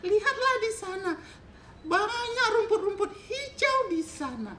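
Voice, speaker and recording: Middle goat sibling for a children's tale, played by a man: helpful, keen, very high squeaky falsetto, roomy recording. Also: sing-song, measured pace, pushed and bright, exaggerated voice. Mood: keen, encouraging. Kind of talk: children's storytelling. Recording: roomy echo, faint rumbling noise.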